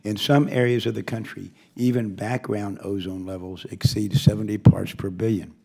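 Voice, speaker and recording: An older man with a hoarse voice, reading a formal opening statement as committee chairman with occasional asides. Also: lowish voice, little pitch movement, moderate pace, dark tone.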